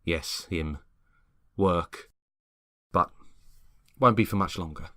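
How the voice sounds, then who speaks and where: A man's voice: low voice, pushed and bright, no echo, clean studio sound, heavily acted. Man playing a tense, sleep-deprived neighbour. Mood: weary, evasive. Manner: halting, evasive. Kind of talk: dramatic script reading.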